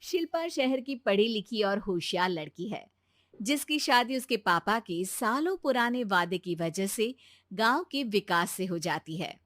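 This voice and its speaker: A woman's voice: medium pitch, lively intonation, a little slow, a bit bright, dry recording, clean studio sound. A woman narrating a scripted moral story as its storyteller.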